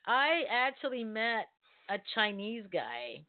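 A woman's voice: fairly high pitch, sing-song, slow, dry recording. A woman co-hosting an online talk show, chatting casually, often laughing and restarting her sentences. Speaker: co-host. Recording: thin phone-like audio.

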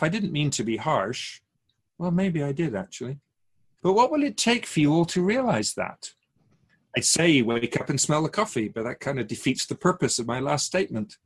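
Man playing a measured, wryly chiding otherworldly keeper. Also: fairly high pitch, slightly rough. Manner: measured, didactic, wryly teasing. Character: wise, gently chiding otherworldly keeper of souls. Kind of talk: conversational radio drama acting.

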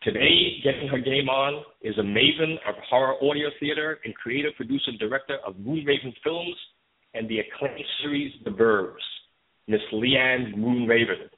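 A man's voice: mid-range voice, normal speed. A man hosting a talk show and interviewing a guest, heard over a tinny, phone-like line. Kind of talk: conversational interviewing with scripted show introduction.

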